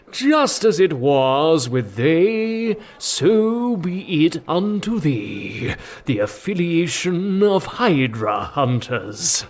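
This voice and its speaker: An actor playing a pompous village priest, solemnly preaching in drawn-out mock-biblical language in a comedy audio drama. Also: male voice, high voice, darkish tone, heavily acted. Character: pompous male village priest blessing questers.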